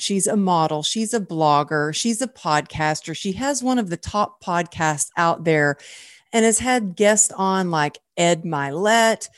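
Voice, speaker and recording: A woman's voice: mid-range voice, smooth, no room sound, clean studio sound. A middle-aged woman hosting a podcast as a mindset coach, speaking in an effusive, admiring manner. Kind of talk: podcast intro and interview.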